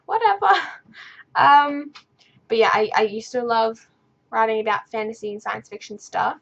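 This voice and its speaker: A woman's voice: on the high side, pushed and bright. Stuttering young woman vlogger, dull audio. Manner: stutters and restarts, rambling and easily sidetracked. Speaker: vlogger answering viewer questions. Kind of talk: casual unscripted talk to camera. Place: her bedroom.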